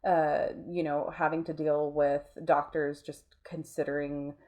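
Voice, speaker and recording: A woman with a relaxed, mellow voice on the low side talks in a conversational interview. She explains her points earnestly, often pausing and restarting with plenty of filler words. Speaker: interview guest, art studio owner.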